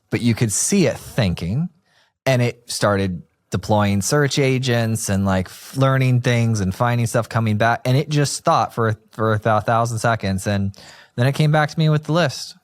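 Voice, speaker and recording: A man's voice: medium pitch, a little slow, no echo. A man hosting a podcast, chatting casually and telling stories with lots of "like" fillers. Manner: chatty storytelling, heavy use of "like". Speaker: podcast host.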